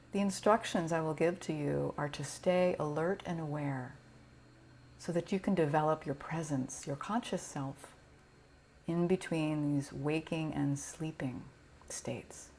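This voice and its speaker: A woman teaching yoga nidra, guiding a relaxation meditation in a gentle, soothing, unhurried way with pauses. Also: low-pitched, gravelly, slight background noise.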